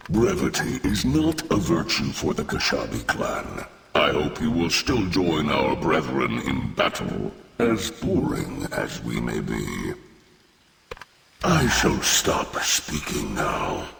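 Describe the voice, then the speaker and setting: A man voicing a courtly, wordy, gentle tree creature in a fantasy game, with florid, earnest speech and a gravelly voice. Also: very deep voice, very animated, heavily acted. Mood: sheepish, apologetic. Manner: florid, formal, earnest, deliberate. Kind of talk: fantasy video game acting. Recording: long cavernous reverb, noisy background.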